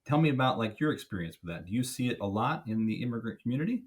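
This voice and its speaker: A man's voice: medium pitch, big pitch swings, rapid-fire. A man hosting a podcast interview, asking questions in a conversational, reflective, somewhat hesitant way. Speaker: podcast host.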